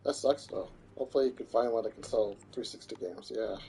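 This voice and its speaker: Man, gravelly, mellow, chatty game streamer. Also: on the low side, fairly quick. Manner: chatty, joking, talks to chat and characters. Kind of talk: casual gameplay commentary. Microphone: headset or desk mic.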